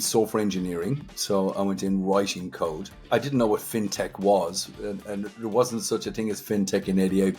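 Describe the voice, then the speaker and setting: A man's voice: lowish voice, a little mellow. A man in his fifties with a Dublin Irish accent, chatting as an interview guest and repeating words for emphasis. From Dublin, Ireland. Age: 50s. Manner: chatty, emphatic word repetition. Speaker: guest, technology entrepreneur. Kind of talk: conversational interview, recounting own career.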